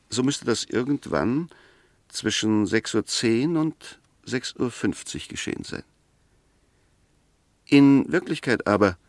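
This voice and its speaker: A man's voice: mid-range voice, no room sound, studio quality. A man playing a precise, argumentative defence lawyer in a formal 1960s German radio drama. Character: insistent, argumentative male defence lawyer questioning a witness.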